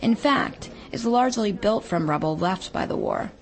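A woman's voice: medium pitch, expressive. Woman narrating a radio feature. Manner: measured, descriptive storytelling delivery. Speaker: radio reporter.

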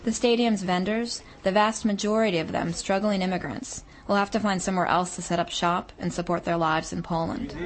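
A woman radio reporter reading a scripted feature story in a measured storytelling manner. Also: on the low side, steady tone, noisy background.

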